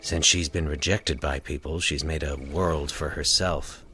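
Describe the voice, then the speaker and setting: A man playing a solitary, sinister man in a horror story, solemn, measured and calculating. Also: rumbling low, fairly even intonation, sharp tone, voice pushed down, heavily acted. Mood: sinister musing.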